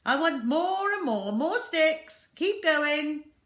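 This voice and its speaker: A woman's voice: high-pitched, lively intonation, slow, fairly bright tone, roomy sound, voice pushed up, full character voice. A woman voicing a tiny bossy, can-do father in a children's story, emphatic and commanding.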